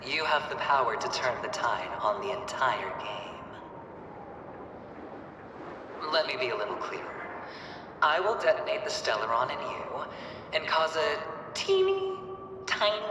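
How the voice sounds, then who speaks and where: An actor voicing a flamboyant, menacing young gambler in a video game, taunting like a showman with theatrical, drawn-out delivery. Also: medium pitch. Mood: gleeful menace. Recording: echoey hall, distant, noisy game backdrop.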